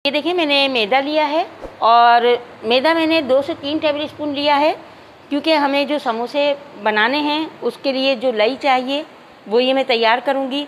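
A middle-aged woman with an Urdu accent calmly explaining a recipe step by step to viewers in a home kitchen. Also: high-pitched, moderate pace, a little hiss or hum, rough recording. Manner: calm, instructive, addresses viewers as nazreen. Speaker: cooking channel host. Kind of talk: step-by-step cooking instructions.

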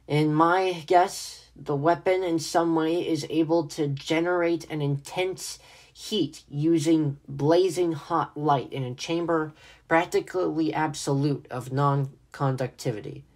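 A man playing a learned professor in a radio play, speaking in a pedantic, deliberate way. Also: fairly high pitch, steady tone. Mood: grave, scholarly. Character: learned male scientist professor giving grave eyewitness conjecture.

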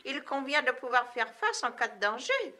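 A woman's voice: sits high, sing-song, normal speed, heavy background noise. A woman voicing a fussy, cautious mother hen in a French cartoon dub, prim and precise in manner.

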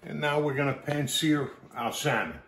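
A man's voice: a little high, moderate pace, creaky, fairly bright tone. A middle-aged man giving a casual, informal step-by-step cooking demonstration as he works in a home kitchen. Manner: informal, step-by-step instruction while working. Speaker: chef and cooking show host. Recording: kitchen noise, sizzling pans. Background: cooking sounds, kitchen ambience.

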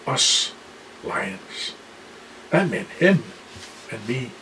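A man voicing an excitable male lion who talks in a giddy, boastful way. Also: medium pitch, very slow, slight background noise, exaggerated voice.

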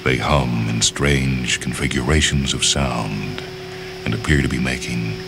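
A man narrating a literary short story from a script, with measured, deliberate phrasing and dramatic pauses. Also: a bass voice, steady tone, vocal fry, over music.

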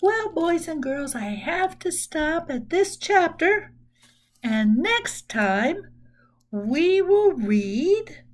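A woman in her sixties reads to children in a high, very animated voice. She takes her time and explains things simply and expressively. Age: 60s. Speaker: children's story reader. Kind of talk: read-aloud storytelling with asides to children. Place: small room at home.

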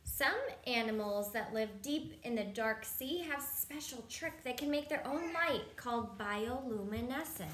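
A woman in her thirties with a fairly high voice reads a children's book aloud at home. She breaks off for simple, child-directed questions and explanations. The room echoes and there is clear noise in the recording. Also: lively intonation, measured pace, hardly any pauses, fairly bright tone. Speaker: parent reading aloud to young children. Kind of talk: children's picture book read-aloud with asides. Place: indoors in a home room, seated at a table.

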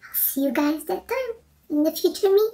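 A young Portuguese woman putting on a high, childlike voice to address her future self. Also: lively intonation, moderate pace, warm tone, recorded from a distance, soft background music. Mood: excited.

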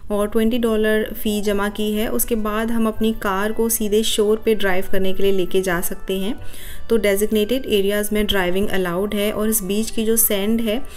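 Indian woman, mid-pitched, flat, even voice-over narrator with faint music bed. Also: moderate pace. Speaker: vlogger. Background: soft music.

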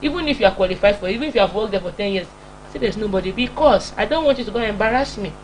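A woman's voice: medium pitch, lively intonation, rapid-fire, poor audio. Emphatic Nigerian woman preaching over humming noise. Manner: emphatic, repetitive, scolding, acts out excuses. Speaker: preacher and broadcast host. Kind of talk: informal motivational sermon. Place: small indoor home-style studio. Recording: clear background hum and noise.

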